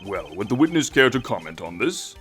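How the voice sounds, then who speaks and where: A man acts out a solemn but easily puzzled male judge in a courtroom game fan dub. He speaks formally and deliberately, with wide-ranging intonation and music behind him. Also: lowish voice, very animated, fairly bright tone. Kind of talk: fan-dub visual novel game acting.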